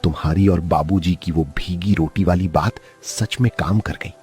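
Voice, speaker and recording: Cartoon role: man voicing an earnest poor vendor, very deep, husky. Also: fairly even intonation, normal speed, darkish tone, faint music behind. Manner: earnest, heartfelt. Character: hardworking poor male food vendor Manish.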